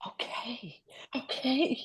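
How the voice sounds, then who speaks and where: A woman's voice: sits high, expressive, very slow, slightly rough, full character voice. A woman playing a frightened, desperate mother in a script read, hesitant and stammering. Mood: fearful, hushed. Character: frightened, desperate mother under a kidnapper's orders. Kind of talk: dramatic script table read.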